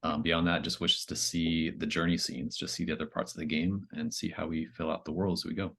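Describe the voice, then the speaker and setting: A man's voice: a bass voice, level tone, a little fast, a bit bright. A man talking casually as a player in a tabletop roleplaying game.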